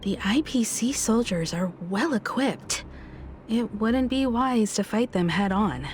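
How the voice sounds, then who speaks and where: An actress playing a calm, sensible adviser in a video game, speaking politely and with composure. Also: female voice, on the high side, a little slow, a little hiss or hum. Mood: composed. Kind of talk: scripted video game dialogue.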